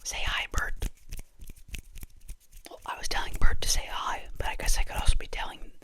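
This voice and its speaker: A casual ASMR host who speaks entirely in a breathy whisper. The delivery rambles gently and playfully, musing aloud to the viewers in an unhurried, informal way.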